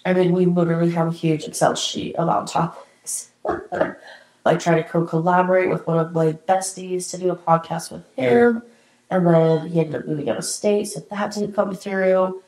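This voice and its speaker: A woman's voice: lowish voice, fairly even intonation, normal speed, darkish tone, reverberant, low-quality recording. Candid woman podcaster, slightly congested. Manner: candid, encouraging, casual swearing. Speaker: podcast host. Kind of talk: solo reflective podcast talk.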